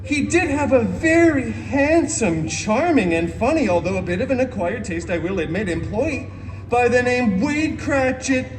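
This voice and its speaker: An actor playing a flamboyant, sarcastic masked antihero who hosts a live comedy storytime with campy, exaggerated emphasis. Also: male voice, extremely high pitch, lively intonation, normal speed. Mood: gleeful storytelling. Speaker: stage show host and storyteller. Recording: live show PA, distant, music behind.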